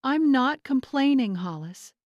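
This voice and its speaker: A woman voicing a dignified older mother in an audiobook story, quiet, measured and restrained but powerful. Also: high voice, animated, dry recording, lo-fi sound, pitched above the performer's own voice. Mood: calm, firm.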